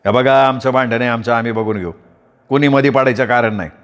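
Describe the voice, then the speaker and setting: A man's voice: medium pitch, steady tone, fast, a bit bright, big-room sound, muffled recording, lightly acted. A man voicing a stubborn former soldier turned farmer who talks bluntly and defiantly.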